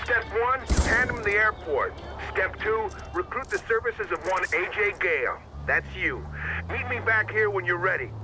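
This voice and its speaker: An actor playing a brash, cynical middle-aged American CIA agent in a video game, with glib, sardonic, folksy patter. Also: male voice, high voice, animated, moderate pace. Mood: sardonic, bossy. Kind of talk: video game cutscene acting. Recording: loud music or noise behind.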